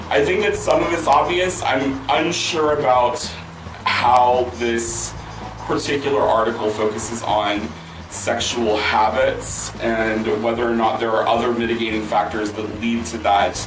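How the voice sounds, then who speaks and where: A man hosting a vlog, answering viewer questions in casual chat, hoarse with a worn-out voice and rambling with many fillers and restarts. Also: mid-range voice. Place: outdoors near a pool with loud music. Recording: roomy, mic at a distance, loud music behind.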